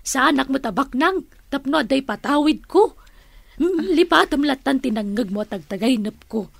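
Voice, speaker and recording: A woman's voice: high voice, lively intonation, normal speed, vocal fry, dry recording. A woman acting in a radio drama as a flustered, giggly aunt who dreams of riches, coy and full of exclamations. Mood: wistful.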